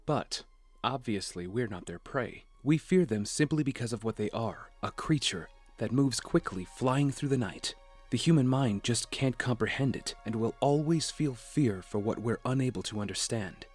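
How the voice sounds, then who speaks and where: An actor playing a gentle, wise, steady father in an audio drama, speaking in a measured, reassuring way. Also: medium pitch, slightly rough. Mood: calm, instructive. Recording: music under the voice.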